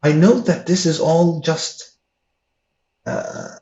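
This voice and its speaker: A man with giving a personal testimony in an interview, earnest and halting with many restarts. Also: a little high, wildly swooping pitch, gravelly, mellow. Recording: echoey room, dull lo-fi sound.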